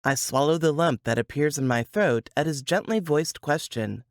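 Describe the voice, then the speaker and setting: A woman's voice: deep voice, normal speed, dry recording, studio quality. A woman reading scripted first-person fiction as an audiobook narrator.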